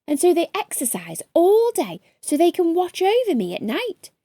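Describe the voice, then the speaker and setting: A woman's voice: very high voice, wildly swooping pitch, moderate pace, warm tone, no room sound, studio quality, pitched above the performer's own voice, full character voice. A woman voicing an earnest young boy in a storybook, easily awed and full of eager questions.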